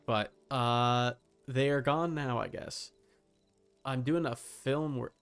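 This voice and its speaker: A young man talks casually while livestreaming a game. His voice is smooth and resonant with a fry at its edges. He rambles and halts, often repeating a word before going on, with faint music behind him. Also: medium pitch, fairly bright tone. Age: young adult. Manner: rambling, halting, repeats words. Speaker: game streamer.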